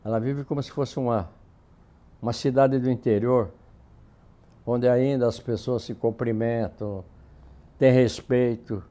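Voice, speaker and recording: A man's voice: medium pitch, steady tone, measured pace, long pauses, mellow. A man chatting casually in a documentary interview. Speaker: local interviewee.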